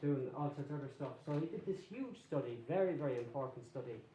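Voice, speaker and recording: An Irish man gives a talk to a local history society in a reverberant room, with a faint hiss underneath. His voice is mellow and unhurried. He explains patiently and keeps checking that the audience follows. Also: medium pitch, animated. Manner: conversational, explanatory, checks in with "okay?". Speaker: guest lecturer on place names. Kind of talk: public lecture to a local society.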